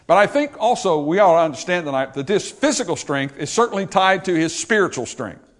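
A man preaching a sermon, swinging from loud emphatic peaks to quiet low asides. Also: big pitch swings, a little fast.

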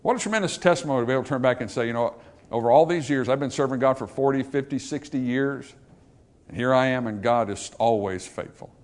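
A man preaching a sermon, swinging from loud emphatic peaks to quiet low asides. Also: quick pace.

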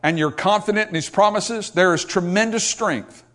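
Emphatic preacher, fairly high voice. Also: male voice, moderate pace. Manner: swings from loud emphatic peaks to quiet low asides. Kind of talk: sermon.

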